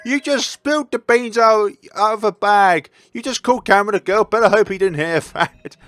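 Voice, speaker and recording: A man's voice: high-pitched, moderate pace, fairly bright tone, no echo, voice pushed up. A man voicing a teasing, gossipy young guy from a visual novel, exclaiming with gleeful ribbing.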